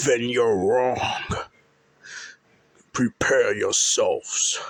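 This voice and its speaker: A man's voice: medium pitch, creaky, fairly bright tone, husky, heavily acted. A man voicing a menacing Egyptian god of death, speaking in a grandiose, threatening manner in an amateur audio drama.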